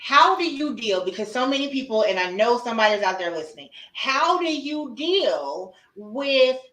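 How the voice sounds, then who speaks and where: Lively woman host in an echoey room. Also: fairly high pitch, moderate pace. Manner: lively, emphatic, calls out to listeners. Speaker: podcast host, sexologist. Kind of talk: casual interview.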